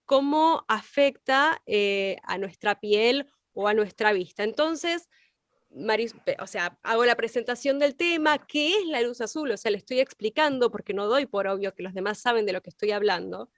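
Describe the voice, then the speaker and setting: A woman's voice: a little high, normal speed, fairly bright tone, dry recording, clean studio sound. A woman explaining as a teacher in an informal open class, with examples and rhetorical questions. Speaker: radio workshop teacher.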